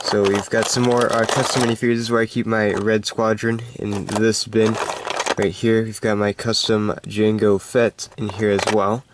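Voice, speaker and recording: Stuffed-up man, flat and low. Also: noisy background. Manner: halting, unscripted, rambling. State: stuffed-up, congested voice. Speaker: hobby collector hosting a video. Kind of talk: casual show-and-tell narration.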